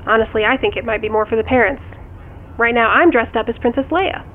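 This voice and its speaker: A woman's voice: a little high, brisk. An actress playing a harried mother wrangling kids while on the phone in a naturalistic audio drama. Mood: harried, friendly. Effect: phone call filter. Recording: tinny phone line, faint noise.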